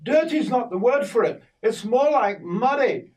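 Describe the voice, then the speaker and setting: A man's voice: very high voice, sing-song, measured pace, a little mellow, far from the mic, pitched above the performer's own voice, exaggerated voice. A man voicing a blunt, bossy middle-aged farm wife from the Outback. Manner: blunt, matter-of-fact.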